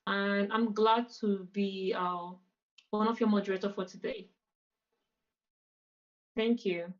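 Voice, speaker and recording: A woman's voice: mid-range voice, steady tone, slow, distant mic, muffled recording. A woman from Nigeria, a PhD student, politely and a little hesitantly introducing herself as co-moderator on a video call.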